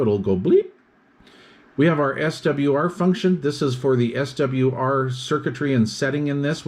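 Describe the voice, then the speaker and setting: A man's voice: mid-range voice, darkish tone. A man giving an explanatory product demonstration, methodically listing features in short phrases as a reviewer.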